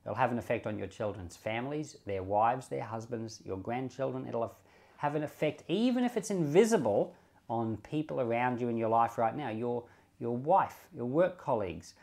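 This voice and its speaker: A man's voice: medium pitch, lively intonation, normal speed, gravelly, darkish tone. A man giving informal Bible teaching as a pastor, reasoning aloud with rhetorical questions and repeating key points for emphasis. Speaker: pastor and Bible podcast host.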